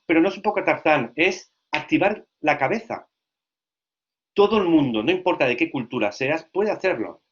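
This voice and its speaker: Male lecturer, teacher trainer; lively, questioning delivery; a bit high; muffled low-fi audio. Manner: lively, asks frequent check questions.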